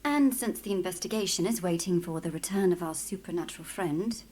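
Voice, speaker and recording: An actress playing a forthright, compassionate companion in a video game, with a direct, questioning manner. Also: female voice, fairly low pitch, brisk, darkish tone, a little hiss or hum, lightly acted. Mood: teasing.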